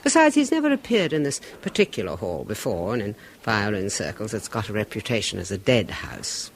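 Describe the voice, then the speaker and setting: Radio drama role: a guarded, hesitant woman, pitch swinging widely. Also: big pitch swings, brisk, heavily acted. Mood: evasive. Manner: hesitant, faltering speech. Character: poised woman hiding worry, evasive. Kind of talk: 1970s radio drama acting.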